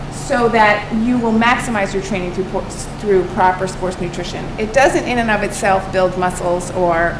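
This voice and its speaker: Soft-spoken lady lecturing on nutrition, lots of ums, echoey room with a humming air conditioner. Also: medium pitch. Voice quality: quiet voice. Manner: soft-spoken, frequent "um" fillers. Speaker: nutrition consultant. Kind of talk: lunch-time lecture. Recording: roomy echo, air-conditioning hum.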